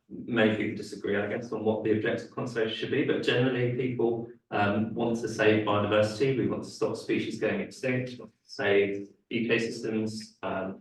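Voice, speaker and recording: A young man delivers a seminar talk in a low, flat voice, stopping often on fillers and restarts. His voice is a little worn, as if he is losing it. He sounds far from the mic in a very echoey room. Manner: hesitant, many fillers and restarts. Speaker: guest speaker. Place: lecture room.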